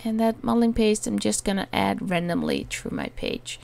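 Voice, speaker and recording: A Dutch woman with a Dutch accent, talking through a crafting tutorial in a hesitant way, often pausing with "um" while she searches for words. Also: mid-range voice, huge pitch swings, creaky, a little mellow. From Netherlands. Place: home attic.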